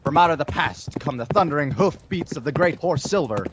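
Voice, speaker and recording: A man narrating a western in theatrical old-time radio style, in a measured way. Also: fairly high pitch, animated, noisy background. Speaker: radio drama announcer and narrator.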